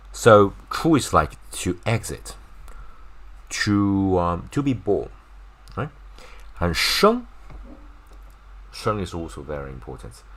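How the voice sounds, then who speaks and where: A man from China with a Chinese accent, a native Chinese speaker, teaching an informal language lesson and repeating words to demonstrate pronunciation. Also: low-pitched.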